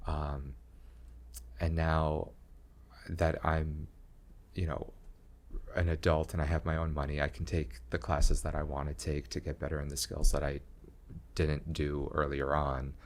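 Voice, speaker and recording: A young man talking slowly in a low voice with long pauses, chatting as a guest about his art and career. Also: level tone. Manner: slow, low-voiced, pausing talk. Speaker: comedian and graphic design teacher, guest. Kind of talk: casual interview chat.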